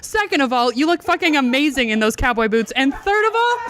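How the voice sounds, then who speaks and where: Drunk woman as a bossy, high-voiced woman friend. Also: sing-song, fairly quick, background noise. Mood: bossy, fond. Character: bossy, protective woman friend listing her points. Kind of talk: comic story read aloud.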